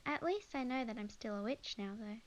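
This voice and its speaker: A woman playing a young witch, sweet, flustered and chatty, in an ASMR roleplay, speaking expressively and haltingly. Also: a little high, muffled recording, close to an everyday voice. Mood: musing, amused. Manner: halting, expressive, talks to herself. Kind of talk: ASMR roleplay audio drama monologue.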